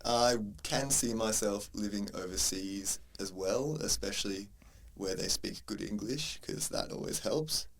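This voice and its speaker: Low, gravelly Australian man in his twenties. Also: unhurried, fairly bright tone. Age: 20s. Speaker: podcast co-host, university tutor. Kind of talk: casual conversational podcast. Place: university room.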